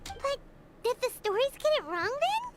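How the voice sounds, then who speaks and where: A woman voicing a small, childlike, excitable flying companion in a video game. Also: very high voice, normal speed, heavy background noise, exaggerated voice. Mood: earnest, encouraging. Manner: excitable, refers to self in third person.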